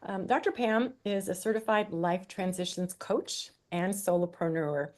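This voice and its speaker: A woman's voice: lowish voice, unhurried, darkish tone. Upbeat woman moderating a panel.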